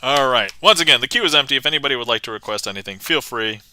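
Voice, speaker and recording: A man's voice: mid-range voice, animated, very fast, sharp tone, clear, resonant tone. A man hosting a magic livestream, chatting casually to viewers with lots of fillers and restarts. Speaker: magician streamer.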